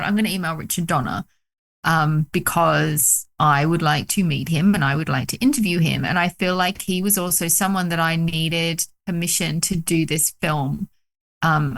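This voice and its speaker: A woman from the UK, a documentary director, talking excitedly about her film on a video call, with many fillers. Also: fairly low pitch. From United Kingdom. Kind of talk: casual interview chat.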